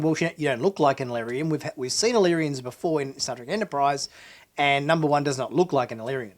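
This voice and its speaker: A hoarse man talks through a TV episode review, his voice sitting a little higher than most men's. He rambles casually, stopping and restarting and filling gaps with "uh" and "um". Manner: chatty, hesitant with frequent fillers and restarts. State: hoarse from illness. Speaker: host of a sci-fi review channel.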